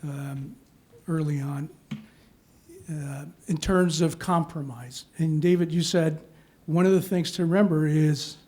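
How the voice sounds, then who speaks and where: Man moderating a panel, measured questions. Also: on the high side, steady tone, mellow. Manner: measured questioning, checks in with "okay?".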